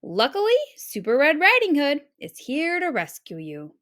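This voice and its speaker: A woman's voice: high-pitched, wildly swooping pitch, normal speed, a bit bright, voice pushed up, heavily acted. A woman voicing a brave, self-important little girl who plays at being a superhero in a storybook. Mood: proud, bold. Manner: bold, self-important, declaiming. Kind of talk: storybook read-aloud character.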